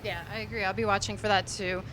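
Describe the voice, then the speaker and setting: A woman from Miami, a newspaper columnist, giving measured, opinionated takes on politics on a live stream. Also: medium pitch, little pitch movement, fairly bright tone. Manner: measured and opinionated, weighing her words. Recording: noisy live-stream background.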